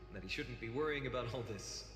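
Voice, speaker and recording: A man's voice: mid-range voice, fast talker, natural voice. An actor playing an earnest, composed young male hero in a fantasy game. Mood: calm, resolute. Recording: echoey hall, long reverb, music.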